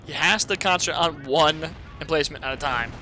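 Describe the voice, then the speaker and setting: A man casually commentating a strategy game replay, excitable and laughing often, talking to his chat, his voice a bit hoarse. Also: a little high, a little fast, slight background noise. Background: video game gunfire and artillery.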